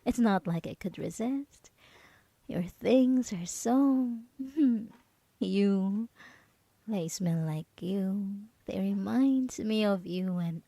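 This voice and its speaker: A woman playing a smug, possessive, manipulative yandere roommate in an ASMR roleplay, taunting deliberately and at times slipping into a whisper. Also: medium pitch, warm tone, no echo. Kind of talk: ASMR roleplay monologue, acted.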